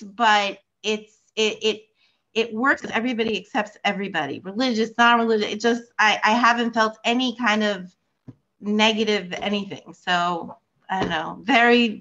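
Older lady w a philly accent on a video call, bright voice, kinda muffled audio, rambles w lots of ums. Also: medium pitch. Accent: Philadelphia. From United States. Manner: rambling, warm storytelling with many fillers and restarts. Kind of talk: casual chat. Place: home room with bookshelves.

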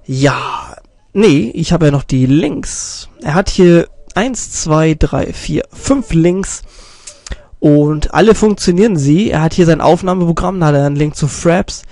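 A man's voice: fairly high pitch, unhurried, darkish tone, no echo. A young man with a slight cold reviewing a channel from his computer, rambling and correcting himself, recorded on a desk stand microphone. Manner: rambling, self-correcting, many fillers. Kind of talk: casual screen-recorded commentary.

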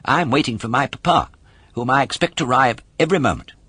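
A man voicing a naive, affectionate little boy puppet from a storybook in an earnest, excitable manner. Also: medium pitch, fast, slightly rough, no echo, pitched above the performer's own voice, heavily acted.

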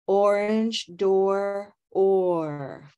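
A middle-aged woman teaching English pronunciation on a video call, modeling words slowly and prompting learners. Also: mid-range voice, warm tone, smooth, muffled recording. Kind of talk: slow, clear pronunciation coaching. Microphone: webcam on a video call.

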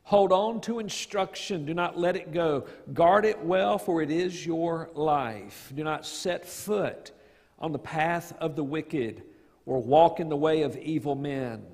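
A middle-aged man, a pastor, reading scripture and praying in public with solemn, deliberate phrasing. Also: fairly high pitch, a little mellow. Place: large church sanctuary, in front of congregation. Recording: echoey church hall, long reverb.

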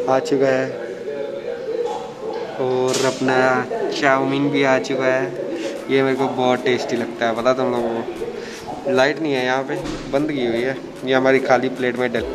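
A man's voice: medium pitch, poor audio. A man, chatting casually and teasing his companion as he films a food vlog in a small restaurant. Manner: chatty, teasing, mixes a little English. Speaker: food vlogger.

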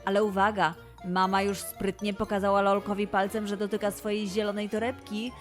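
A woman's voice: medium pitch, level tone, brisk, faint music behind. A woman narrating a scripted children's story expressively as the host.

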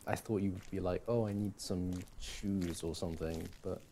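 A young man performing casual ASMR boyfriend roleplay as an easygoing, affectionate partner, talking in a hesitant, halting way. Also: sits low, fairly even intonation, dark tone. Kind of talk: ASMR boyfriend audio roleplay, casual one-sided chat. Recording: clearly audible background noise.

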